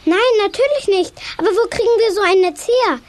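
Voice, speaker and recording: Tiny star creature, very high, naive, excitable, children's audio play. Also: female voice, fairly quick. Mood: playful, eager. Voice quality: very high, squeaky. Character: tiny, playful, eager childlike star sprite.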